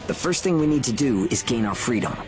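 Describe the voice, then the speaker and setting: A man's voice: medium pitch, expressive, brisk, lightly acted. An actor playing an earnest, determined young man in a dramatic video game scene, speaking persuasively. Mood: resolute. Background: music.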